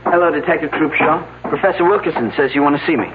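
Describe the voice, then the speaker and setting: A man's voice: on the high side, quick pace, natural voice. An actor playing an earnest, slightly flustered young man from an archaeological dig, answering questions in a mystery drama. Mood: uneasy, puzzled. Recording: narrow, slightly noisy phone-like old broadcast audio.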